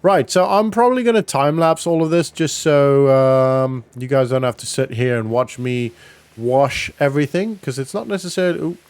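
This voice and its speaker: Clear-voiced man narrating gameplay casually. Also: mid-range voice, normal speed, a little mellow, dry recording. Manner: informal, thinking aloud as he goes. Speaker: gaming vlog host. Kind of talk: casual roleplay gameplay commentary.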